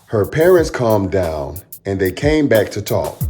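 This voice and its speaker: A man reading a rhyming children's picture book aloud as a storytime host, in an expressive, dramatic storyteller manner. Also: fairly low pitch, a little slow, soft background music.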